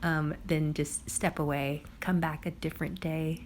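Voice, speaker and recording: A young woman with a hoarse, raspy voice from a cold, chatting casually through a crafting video voice-over. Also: low voice, little pitch movement, unhurried, slight background noise. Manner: chatty, bubbly, lots of "like" and "um". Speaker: crafting vlogger.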